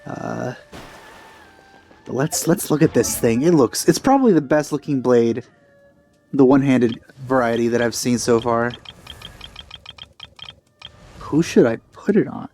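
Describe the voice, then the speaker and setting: A man's voice: mid-range voice, measured pace, long pauses, dark tone. A man giving casual let's play commentary, informal and musing to himself as he goes. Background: faint game music.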